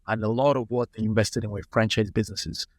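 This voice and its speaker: A man in his late thirties from Nigeria, telling relaxed stories as a founder in a casual podcast interview. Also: on the low side, fast talker, no echo, clean studio sound. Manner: relaxed anecdotal storyteller. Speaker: startup founder, guest.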